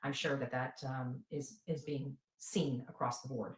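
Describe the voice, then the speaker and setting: A woman's voice: very deep voice, fairly even intonation, measured pace. A woman hosting a casual livestream for followers, rambling and repeating herself for emphasis. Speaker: livestream host and advocate. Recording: roomy, echoing livestream replayed.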